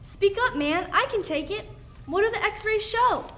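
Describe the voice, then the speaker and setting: A woman's voice: extremely high pitch, animated, measured pace, a bit bright, exaggerated voice. A teenage girl playing a proud, desperate woman surgeon in a school radio play, with dramatic, declamatory delivery. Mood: shocked, angry.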